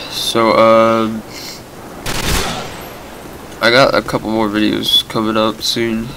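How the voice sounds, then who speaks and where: A young man with a cold, giving rambling, casual commentary over his gameplay with lots of fillers and a stuffed, nasal voice. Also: lowish voice. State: sick, nasal voice. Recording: background game gunfire noise.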